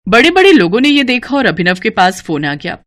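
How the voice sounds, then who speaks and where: A woman narrating a scripted moral story as a storyteller, in an expressive manner. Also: a little high, fairly quick, no echo.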